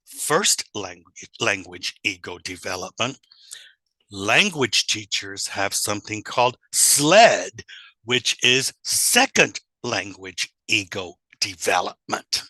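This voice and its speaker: A man giving an informal online lecture in a deliberate, explanatory way with rhetorical questions. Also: on the low side, sing-song, sharp tone, no echo, poor audio. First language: English, also Spanish and German. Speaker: teacher trainer presenting.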